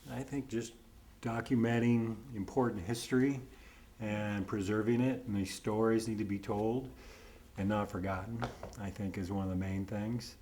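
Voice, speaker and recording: Low-voiced man chatting loosely. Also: fairly even intonation, normal speed, warm tone, a little hiss or hum. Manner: loose, rambling, peppered with "man" and swearing. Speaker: interviewer. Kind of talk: casual chat between friends.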